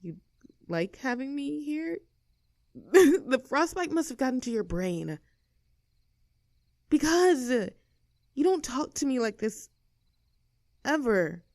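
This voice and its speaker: A woman's voice: high voice, very animated, darkish tone, no room sound, clean studio sound, heavily acted. A woman playing an easygoing young tomboy with a secret crush in an intimate audio roleplay, casual, teasing and tender in manner. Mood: flustered, hesitant. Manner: casual, teasing, tender, halting when flustered.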